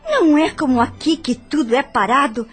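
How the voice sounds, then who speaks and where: A woman's voice: high voice, animated, moderate pace, faint music behind. An actress playing a vivacious, vain young Brazilian woman in a radio drama, with a gushing, theatrical delivery. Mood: breezy. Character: vivacious, vain, materialistic young female cousin.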